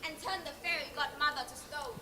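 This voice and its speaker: A woman's voice: high voice, steady tone, fairly quick. A teenage girl with a Thai accent narrating a scripted story dramatically on stage. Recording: echoey stage with faint noise.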